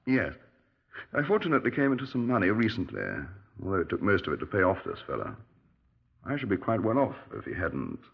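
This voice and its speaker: An actor playing a genial, breezy middle-aged British retired major in a 1950s radio drama, clipped and dismissive. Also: male voice, lowish voice, sing-song, fairly quick, gravelly, breathy edge, heavily acted. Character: genial, dapper retired army major, breezy small-town murder suspect. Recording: muffled 1950s radio recording.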